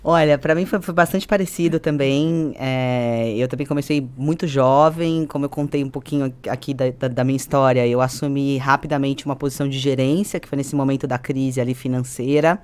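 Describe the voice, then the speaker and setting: A woman's voice: extremely low pitch, steady tone, brisk, hardly any pauses, darkish tone, smooth. A woman with a Brazilian accent speaking as a podcast guest, explaining casually and often checking in with her listener. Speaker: podcast guest, business executive. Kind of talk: casual interview talk.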